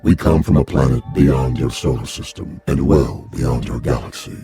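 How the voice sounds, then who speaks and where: An actor playing a towering, calm alien envoy who speaks in measured, formal address in a radio drama. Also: male voice, a bass voice, over music. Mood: solemn.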